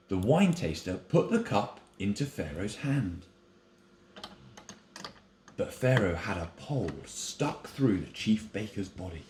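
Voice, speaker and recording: A man narrating a Bible story as a dramatic scripted reading. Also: mid-range voice. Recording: echoey room, faint noise and clicks.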